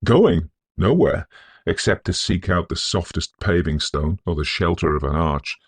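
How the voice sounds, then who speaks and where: Storybook role: disowned young gentleman played by a man, well-spoken, bitter and weary, with a creaky edge. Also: low-pitched, expressive, unhurried, no room sound, full character voice.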